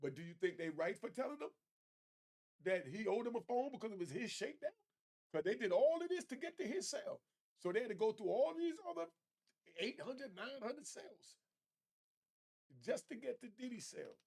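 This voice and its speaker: A man's voice: extremely high pitch, sing-song, moderate pace, creaky, pauses often, a little mellow. A man giving informal opinion commentary to viewers, talking in street slang with emphatic repetition.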